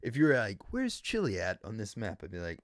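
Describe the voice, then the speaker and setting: A man's voice: fairly low pitch, brisk, noisy background. A man from Tennessee hosting a livestream podcast, chatting casually with lots of laughs and backchannel fillers. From Tennessee, southern United States. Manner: animated and laughing, with many fillers. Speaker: podcast host.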